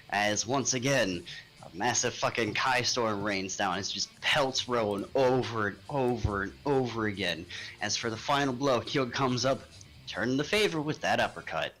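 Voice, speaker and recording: Bright-voiced, sweary male game player. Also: mid-range voice, rough recording. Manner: sweary, informal. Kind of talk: roleplay narration and casual chat.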